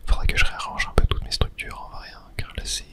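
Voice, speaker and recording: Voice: fast talker, bright, forceful tone. Gaming ASMR host, fully whispered delivery, casual and hesitant, musing aloud while playing. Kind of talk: whispered ASMR game commentary.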